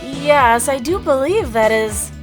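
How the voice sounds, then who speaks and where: A woman voicing a prim, sharp-tongued female rabbit prosecutor with a dry manner. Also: sits high, animated, takes it slowly, over loud music.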